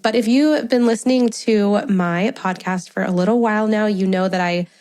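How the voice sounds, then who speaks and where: A woman's voice: mid-range voice, moderate pace, darkish tone. A woman in her thirties hosting a solo podcast, talking in a chatty, friendly way with a congested, stuffy voice and frequent laughs. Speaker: podcast host.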